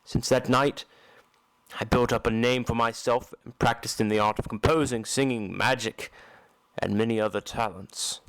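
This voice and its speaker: A man playing a tormented, embittered masked opera recluse in an audio drama, telling his story in a slow, solemn, dramatic way. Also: medium pitch, steady tone, fairly bright tone, no room sound, close to an everyday voice, poor audio. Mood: solemn, reminiscing. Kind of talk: amateur audio drama acting.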